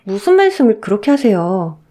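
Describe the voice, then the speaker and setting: A woman's voice: mid-range voice, big pitch swings, brisk, dark tone. A woman voicing a meek young wife, polite and wistful, in a radio story drama. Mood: meek, defensive.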